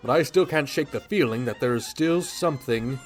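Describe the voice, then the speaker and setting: A man's voice: medium pitch, normal speed, music in the background, close to an everyday voice. An actor playing a stern, earnest male superhero in a 1940s-style radio drama. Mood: weary.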